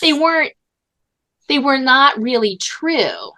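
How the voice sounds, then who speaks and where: A woman hosting a podcast in casual conversation, animated and informal, often saying "like" and "you know". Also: high-pitched, slow. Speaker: podcast host and writer.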